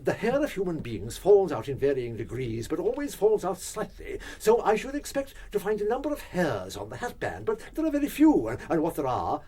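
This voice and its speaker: An actor playing an eccentric, self-assured gentleman detective in a radio drama, musing aloud and making deliberate, pompous pronouncements. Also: fairly high pitch, big pitch swings, slightly rough. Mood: deductive, pompous. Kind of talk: full-cast radio drama acting.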